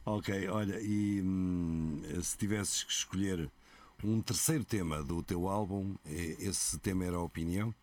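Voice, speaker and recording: A man's voice: low-pitched, measured pace, darkish tone. A man with a European Portuguese accent interviewing a guest with informal, joking questions. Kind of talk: casual interview chat.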